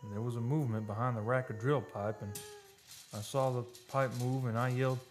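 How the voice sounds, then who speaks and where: An actor playing a haunted oil driller in a radio drama, telling his story in a folksy, halting, suspenseful way. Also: mid-range voice, fairly even intonation, close to an everyday voice. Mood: uneasy. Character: haunted male oil driller recounting a terror. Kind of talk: live old-time radio drama monologue. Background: live dramatic music.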